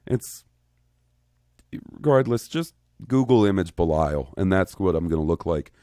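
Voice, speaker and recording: A man in his thirties co-hosting a podcast in casual chat, rambling and profane, getting excitable. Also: low voice, measured pace, dry recording, clean studio sound. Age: 30s. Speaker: podcast co-host.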